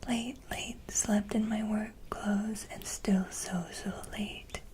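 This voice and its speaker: A woman reading out a harried young comic-book heroine in a breathy, fretful whisper. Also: mid-range voice, fairly even intonation, slow, a little mellow, close to an everyday voice.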